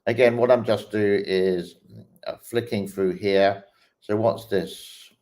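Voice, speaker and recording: Casting: deep-voiced male host, unhurried, halting reaction commentary. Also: steady tone, a little mellow. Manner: slow, hesitant, many ums and restarts. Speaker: host reacting to online clips. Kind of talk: casual commentary while scrolling a feed.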